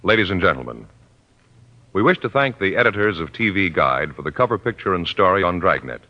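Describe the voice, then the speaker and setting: A man's voice: low voice, very animated, brisk. A man giving formal closing announcements for a 1950s radio show. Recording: dull lo-fi old radio with music.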